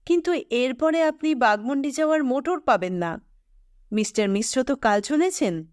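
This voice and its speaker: A woman's voice: high voice, animated, moderate pace, no room sound, clean studio sound. A woman voicing a genial, curious male city office-worker in an audio story, lightly conversational.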